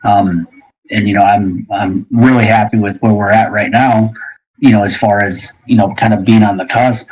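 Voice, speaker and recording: A man hosting a casual panel chat, speaking in a halting, rambling way. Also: fairly low pitch, fairly even intonation, darkish tone, breathy edge, rough recording. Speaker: host asking the panel questions.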